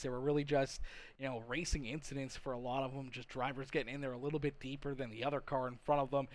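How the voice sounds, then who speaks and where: A man works as the host of a live motorsport broadcast. He talks at length in a chummy announcer's manner, with a mid-pitched voice, moving between commentary and post-race interview questions.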